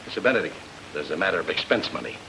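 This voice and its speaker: Fast-talking, glib detective's sidekick. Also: male voice, deep voice, lively intonation, creaky. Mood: smooth salesmanship. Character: glib, money-minded male detective's sidekick. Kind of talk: old-time radio drama acting. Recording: noisy old radio recording.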